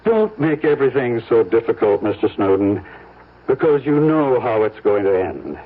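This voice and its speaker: An American man acting in an old-time radio drama as a sly, condescending negotiator who needles with dry, deliberate pauses. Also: medium pitch, big pitch swings. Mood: condescending pressure. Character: sly, condescending lawyer-like negotiator for rich woman. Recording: muffled old radio broadcast.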